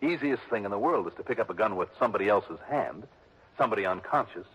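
A man's voice: lowish voice, animated, fast talker, a bit bright, breathy edge. An actor playing a tough, sardonic male insurance investigator in a 1960s radio drama, with a clipped, wry, pressing delivery. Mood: probing. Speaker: lead actor. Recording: muffled old radio broadcast.

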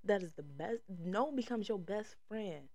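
A woman's voice: mid-range voice, animated, a little slow, darkish tone, dry recording. A young woman hosting her own podcast, talking alone in a sassy, emphatic way with a voice that projects, often repeating a line for punch. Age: young adult. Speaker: podcast host. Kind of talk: solo podcast monologue.

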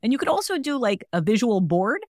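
A woman's voice: fairly high pitch, very animated, fairly quick, a little mellow, no echo, studio quality. A woman hosting a solo wellness podcast, talking in a chatty, encouraging way with frequent fillers. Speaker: podcast host.

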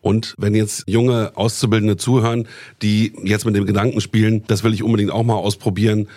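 A man's voice: lowish voice, little pitch movement, brisk, mellow, dry recording. A man hosting a podcast interview, asking friendly follow-up questions in a conversational way. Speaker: podcast host.

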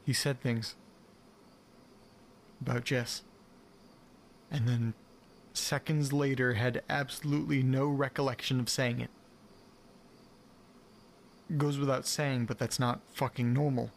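Casting: male actor for a brooding, bewildered male diarist, mid-pitched, halting and repetitive, faint noise behind him. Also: fairly even intonation, gravelly. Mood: uneasy, puzzled. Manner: halting, brooding, swearing, repeating words. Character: bewildered, frustrated man recording a confessional audio diary. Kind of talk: audio drama monologue, naturalistic.

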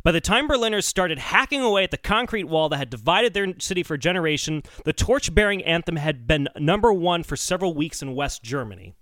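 A man co-hosting a podcast, telling researched stories in a casual, animated chat. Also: on the high side, brisk, hardly any pauses, no echo. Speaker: podcast co-host.

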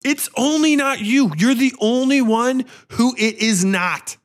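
A man's voice: very high-pitched, unhurried. A man hosting a podcast, giving casual, opinionated film commentary in a blunt, profane, sarcastic, ranting way. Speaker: podcast host reviewing a horror film.

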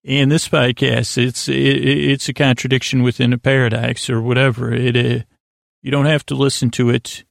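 A man with a gravelly, creaky voice drifts through a late-night sleep podcast, restarting his sentences and wandering off mid-thought. His delivery is soft and lulling, and the sound is dry and close with no room around it. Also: mid-range voice, flat delivery, moderate pace, dry recording. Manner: meandering, self-interrupting, many restarts. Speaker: podcast host.

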